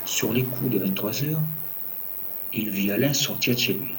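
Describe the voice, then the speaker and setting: A man narrating a scripted audio drama as a storyteller, in measured short phrases. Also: on the low side, fairly bright tone, a little hiss or hum, poor audio. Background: music.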